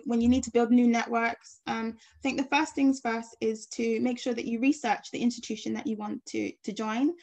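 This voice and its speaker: A woman speaking as a guest on an online panel over a video call, pausing often with ums. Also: on the high side. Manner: hesitant, many ums.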